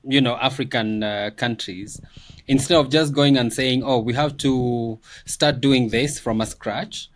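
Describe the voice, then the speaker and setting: A man speaking in a measured, thoughtful way in a conversation, often filling pauses with "you know" and "um". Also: medium pitch. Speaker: guest commentator. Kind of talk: reflective conversation.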